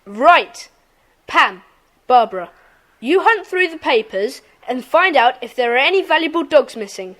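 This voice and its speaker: A woman playing a decisive schoolboy who leads a children's club in an audio drama, brisk and commanding. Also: high-pitched, expressive, a bit bright.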